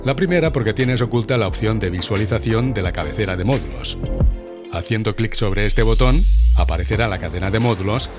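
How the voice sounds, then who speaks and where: A man narrating a scripted software tutorial in a measured, instructional way. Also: on the low side, expressive. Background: music.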